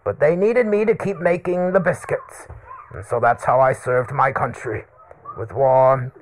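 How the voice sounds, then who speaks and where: Man putting on a slow-talking, ancient rambling old man. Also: mid-range voice, a little hiss or hum, pitched above the performer's own voice, close to an everyday voice, low-quality recording. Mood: rambling, excitable. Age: elderly. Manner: rambling, forgetful, theatrical. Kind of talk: comedy mock interview.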